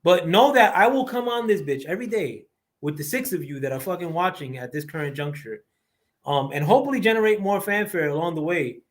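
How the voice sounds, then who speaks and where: Squeaky-voiced man ranting on a livestream. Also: expressive, a little fast. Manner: profane, rambling, heavy on fillers. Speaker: livestream host. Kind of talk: casual boxing rant.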